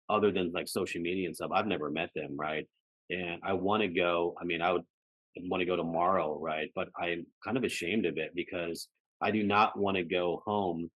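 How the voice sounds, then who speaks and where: A man's voice: low-pitched, no room sound, lo-fi sound. An American man speaking reflectively as a podcast guest, often checking in with "right" and "you know". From United States. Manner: frequently ends phrases seeking agreement with "right" and "you know". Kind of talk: reflective personal conversation.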